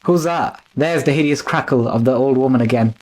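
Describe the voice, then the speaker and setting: Guy as jumpy loyal manservant in a radio mystery, medium pitch, bit of noise. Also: a little fast, close to an everyday voice. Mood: deferential, uneasy. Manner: deferential, anxious. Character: deferential, nervous manservant to a gentleman. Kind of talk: old-time radio mystery acting.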